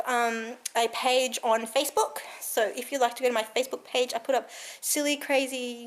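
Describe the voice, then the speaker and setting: A woman in her thirties chatting to a handheld camera at home in a rambling, giggly way. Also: sits high. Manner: rambling and giggly, many fillers. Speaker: vlogger. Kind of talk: casual chat to camera. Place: cold room at home. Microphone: handheld camera carried around the room.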